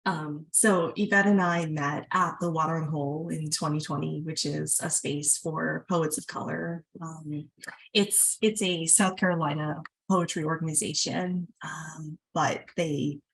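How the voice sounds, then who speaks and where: A woman hosting an event in a casual, chatty way, speaking haltingly. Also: low-pitched, steady tone, vocal fry, a bit bright, husky, low-quality recording. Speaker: event host.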